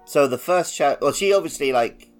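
A man's voice: a little high, expressive, moderate pace, faint music behind. A man co-hosting a podcast, talking casually and conversationally about a video game. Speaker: podcast co-host.

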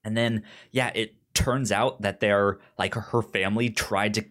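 A man co-hosting a casual TV review chat, speaking haltingly and informally, often repeating words as he goes. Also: lowish voice, fairly even intonation, fairly bright tone. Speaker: podcast co-host.

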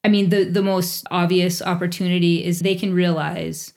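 A woman's voice: fairly low pitch, little pitch movement, normal speed, a little mellow. A woman in her forties, a teacher who speaks English as her first language, answering interview questions in a reflective way with small restarts. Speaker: teacher at a bilingual school.

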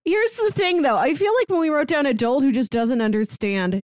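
Female podcast co-host, slightly high voice with lively intonation, casual movie chat, dry recording. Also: brisk, dry recording. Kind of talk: casual film review chat.

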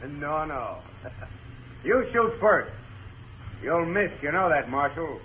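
An actor playing a sly, mocking villainous gunfighter in an old radio Western. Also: male voice, a little high, lively intonation, very slow. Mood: taunting. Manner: taunting, mocking. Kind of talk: 1950s radio Western acting. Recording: tinny, noisy old radio recording.

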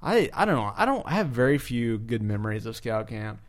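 A man's voice: mid-range voice, sing-song, fairly quick. Foul-mouthed man chatting casually. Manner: crude, profane, self-deprecating. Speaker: podcast co-host. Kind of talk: casual chat, banter.

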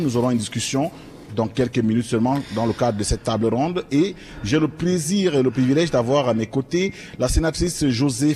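A man moderates a public forum in a large, reverberant hall. His voice sits at a middle pitch, and faint noise hums underneath. He opens sections with emphatic, announcer-like lifts. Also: darkish tone. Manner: announcer-like, with emphatic rising openers. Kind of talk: moderating a live panel forum. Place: event hall with an audience.

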